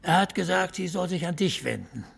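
A man's voice: sits high, animated, brisk, creaky, a bit bright, slightly rough, exaggerated voice. An actor playing a dry, laconic small-town drinking buddy who needles his friend in a radio drama. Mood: dry, matter-of-fact.

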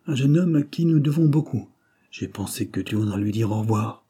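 Storybook doctor, middle-aged man, voiced by a male narrator: bossy yet kindly, blunt questioner, husky tone. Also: fairly low pitch, animated, fairly quick, dark tone. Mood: brisk, hearty. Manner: curt, commanding, firing questions.

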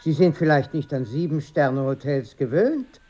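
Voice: mid-range voice, animated, moderate pace, warm tone, faint music behind, natural voice. An actor playing a formal, over-polite luxury hotel waiter in a radio play, officious and stiffly correct. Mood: haughty.

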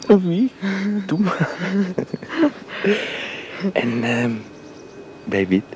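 A man playing a tender young painter in love, speaking softly and often in a whisper in a radio drama. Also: mid-range voice, big pitch swings, extremely slow, slight background noise. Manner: soft, intimate, often whispering. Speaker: suitor Jaap.

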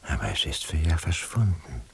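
An actor playing a haunted, pensive man in a radio drama, musing haltingly in a husky, gravelly, hushed voice. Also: a bass voice, sing-song, full character voice. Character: haunted, pensive man straining after faint impressions. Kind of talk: radio drama interior monologue.

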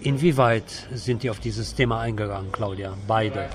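A man's voice: on the low side, moderate pace. A man hosting a conversational cultural radio interview. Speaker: radio host interviewing a guest.

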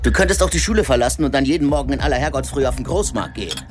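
A lazy, threatening father around forty, acted by a man in a radio drama. He is bossy and taunting, with an animated delivery over music. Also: medium pitch, racing, natural voice. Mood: mock-threatening. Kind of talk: German radio drama acting.

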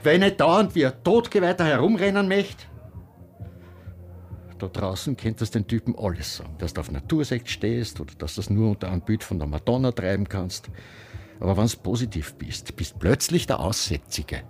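Actor as guarded man, lowish voice. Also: lively intonation, normal speed, natural voice. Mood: bitter, defiant. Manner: guarded, blunt. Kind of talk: radio drama acting.

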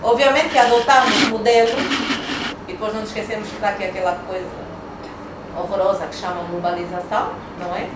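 A woman's voice: mid-range voice, steady tone, fast talker. A woman arguing hard in a public debate, repeating words for emphasis. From Angola. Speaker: debate speaker. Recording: echoey room from far off, noisy.

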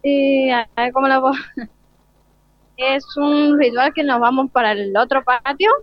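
Fairly high teenage Argentine girl, hesitant. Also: steady tone, unhurried, no echo. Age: teenage student. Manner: hesitant, searching for words. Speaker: student flag bearer being interviewed. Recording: dull lo-fi radio broadcast link.

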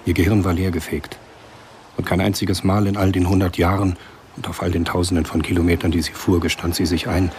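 A man narrating a radio drama from a script in a measured, sombre, husky voice. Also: low voice, slight background noise.

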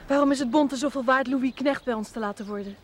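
A woman's voice: high voice, fast, a little hiss or hum, natural voice. An actress playing a serious, proud young village woman, earnest and reserved, in a 1970s Dutch television drama. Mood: reserved, doubtful. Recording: 1970s TV soundtrack, music underneath.

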